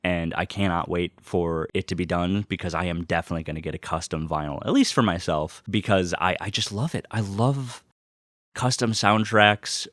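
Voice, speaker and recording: A man's voice: low-pitched, a bit bright, no room sound, studio quality. A man chatting casually to viewers in a rambling, enthusiastic fan style, with emphatic repetition and swearing. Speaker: vlogger unboxing vinyl and merchandise.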